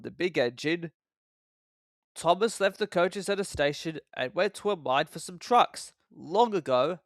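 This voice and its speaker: High-pitched, animated male storyteller. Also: no echo, studio quality. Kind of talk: scripted children's story narration.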